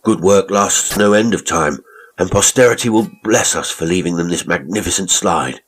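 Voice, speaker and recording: Man acting a cocky, flippant young gentleman sceptic. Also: deep voice, normal speed, husky. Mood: cheerful. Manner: flippant, mocking, rhetorical. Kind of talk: audiobook character acting.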